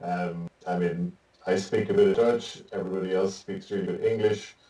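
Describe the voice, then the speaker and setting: Deep-voiced man in an echoey room. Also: fairly even intonation, moderate pace, warm tone. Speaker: musician guest.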